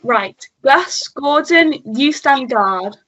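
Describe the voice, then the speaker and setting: A bossy police sergeant, acted by a woman, giving orders in a high voice. The delivery is slow and deliberate, in radio melodrama style. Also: animated, fairly bright tone, lo-fi sound, exaggerated voice. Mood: commanding. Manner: commanding, deliberate. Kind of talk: melodramatic radio play acting.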